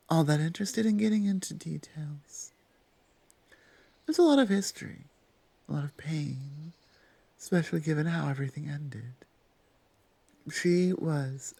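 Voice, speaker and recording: A woman playing a stern female minotaur guard captain with a soft heart in an ASMR roleplay, speaking deliberately with weighty pauses. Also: sits low, no echo, full character voice. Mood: wistful, grieving. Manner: deliberate, pausing, commanding. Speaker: audio roleplay performer. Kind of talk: ASMR roleplay acting, addressing the listener.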